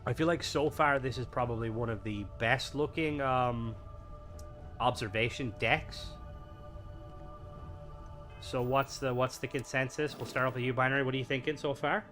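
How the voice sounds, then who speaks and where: A man's voice: medium pitch, steady tone, moderate pace, long pauses, a bit bright. A man hosting a live game stream, chatting casually and playfully with lots of fillers as he tours and rates builds. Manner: rambling, filler-heavy, playful. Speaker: streamer host. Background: faint game music.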